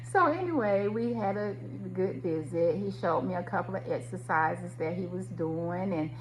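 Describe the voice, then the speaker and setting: A woman's voice: fairly low pitch, unhurried, a little hiss or hum, poor audio. A middle-aged woman telling a personal story in a reflective, conversational way, speaking directly to her listeners.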